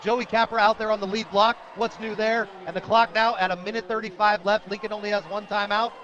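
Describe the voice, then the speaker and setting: High-pitched American football play-by-play man. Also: flat delivery, a little fast, fairly bright tone, lo-fi sound. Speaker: play-by-play radio announcer. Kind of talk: live high school football play-by-play commentary. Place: outdoor football stadium broadcast.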